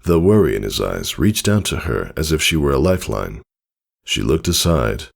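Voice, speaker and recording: Male story narrator: low, gravelly register, expressive dramatic reading. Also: moderate pace. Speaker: audiobook narrator. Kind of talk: scripted narration of fan fiction, dramatic storytelling.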